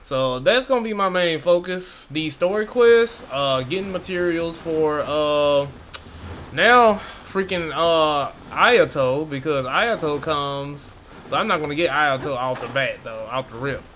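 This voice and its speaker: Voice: mid-range voice, unhurried, a bit bright. Slangy rambling game streamer, some background noise. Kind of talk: casual playthrough commentary.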